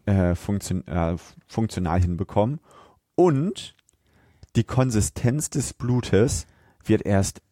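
A man's voice: on the low side, slow pace, warm tone, no room sound, studio quality. A man, co-hosting a podcast in casual chat about a film. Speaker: podcast co-host.

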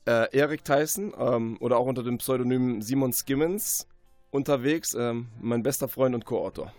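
A man with a medium-pitched voice answers as a guest author in a radio interview. He talks conversationally and reflectively, with hesitant phrasing. The sound is dry, with soft music behind him. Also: normal speed, dry recording.

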